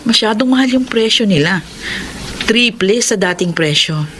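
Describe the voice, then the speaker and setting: A woman's voice: medium pitch, lively intonation, moderate pace, a bit bright, close to an everyday voice. Female mayor played by an actress, Filipino, principled elder-sister type, radio drama, slight background noise. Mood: firm.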